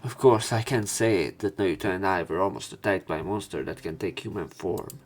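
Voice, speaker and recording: A man reading visual novel text aloud as a let's play narrator, in a somewhat halting manner. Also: deep voice. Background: game music.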